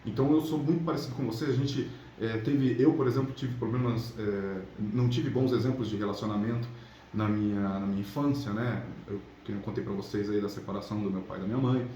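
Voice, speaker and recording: A man's voice: lowish voice, steady tone, fast, warm tone. A Brazilian man giving casual motivational relationship coaching, chatting colloquially to viewers and checking in with little tag questions. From São Paulo state, Brazil. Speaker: relationship coach, channel host. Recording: roomy echo, clear background noise.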